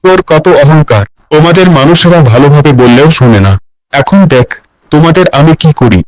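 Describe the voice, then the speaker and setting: A man's voice: on the high side, lively intonation, moderate pace, a little mellow, dry recording, close to an everyday voice, poor audio. A man voicing a resentful, threatening, bloodthirsty male ghost in a cartoon fairy tale. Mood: menacing. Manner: threatening, demanding.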